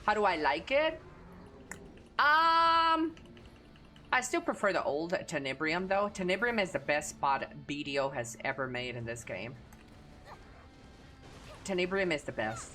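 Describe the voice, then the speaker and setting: A woman's voice: on the low side, lively intonation, unhurried, pauses often, sharp tone, soft background music. A woman with a Texan Southern accent chatting casually and crudely with her audience while streaming a game. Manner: crude, profane, laid-back. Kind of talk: casual gaming stream chat.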